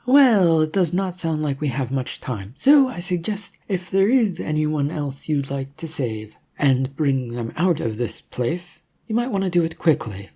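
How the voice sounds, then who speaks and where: A narrator voicing a brisk, cocky, evasive woman rescuer in an adventure audiobook, with a breezy, dismissive manner. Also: mid-range voice, very animated, warm tone, slightly rough, pitched above the performer's own voice, full character voice. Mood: brisk, urgent. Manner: breezy, dismissive, self-assured.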